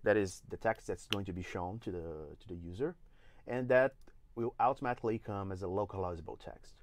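Brazilian-accented man explaining software. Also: low voice, brisk, no echo. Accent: Brazilian Portuguese. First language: Portuguese. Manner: explains step by step with frequent "uh" and restarts. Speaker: engine evangelist giving a demo. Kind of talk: live software tutorial. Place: indoor studio.

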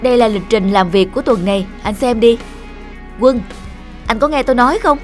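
A man's voice: extremely high pitch, a little fast, faint music behind. A man voicing a pushy, stern middle-aged talent manager in a cartoon, bossy and insistent. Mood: brisk, insistent. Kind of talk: cartoon voice acting.

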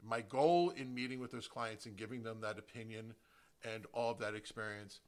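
A middle-aged American man from New Jersey hosting a podcast, giving a prepared solo introduction with emphatic, deliberate phrasing. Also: mid-range voice, a bit bright. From New Jersey, United States. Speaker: podcast host, real estate agent.

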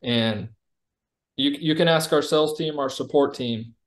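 A man giving a conversational product demo over a video call, explaining like a company executive presenting software. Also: a little high, unhurried.

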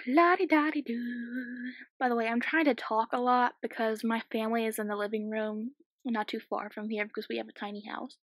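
A sixteen-year-old girl with a slightly croaky voice, chatting and giggling casually while commentating a game she is playing at home. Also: on the high side, steady tone, moderate pace, dry recording. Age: 16, teenager. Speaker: gaming YouTuber. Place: small home room.

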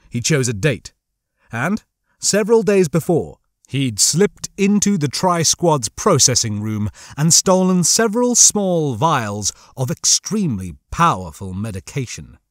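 Man, audiobook narrator, slightly high voice, animated intonation, dramatic storytelling. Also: no room sound, clean studio sound.

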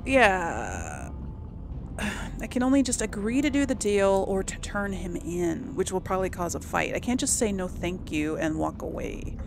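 A woman's voice: medium pitch, sing-song, unhurried, gravelly, background noise. A woman casually commenting on a role-playing video game, thinking aloud and laughing often. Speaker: let's play host. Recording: voice over game audio with faint music.

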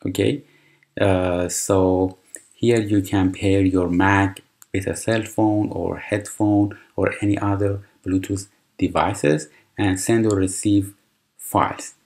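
A man with a very deep voice, a native Persian speaker, talks slowly through a computer tutorial. He explains each step patiently, pausing on fillers and restarting his phrases. Mouse clicks sound now and then. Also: fairly even intonation, darkish tone. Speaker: tutorial presenter. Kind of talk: screen-recorded software tutorial.

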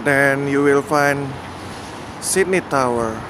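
A man narrating a walking tour outdoors, pointing out landmarks slowly and plainly, with pauses and fillers. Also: mid-range voice. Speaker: vlogger giving a walking tour. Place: outdoors on rainy city streets. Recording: outdoor city noise behind the voice.

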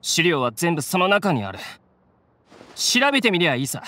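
Brash bandit grunt, swooping pitch. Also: male voice, sing-song, moderate pace, pushed and bright, no echo. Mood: grudging. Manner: rough, coarse, blustering speech. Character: defiant low-ranking bandit gang grunt, adult man. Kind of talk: Japanese game voice acting.

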